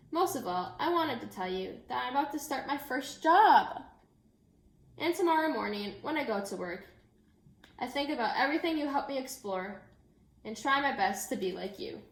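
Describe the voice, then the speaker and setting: Higher-voiced woman reading aloud in an echoey room. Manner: addresses children directly and informally. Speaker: elementary school teacher. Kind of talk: picture book read-aloud with chatty asides to children.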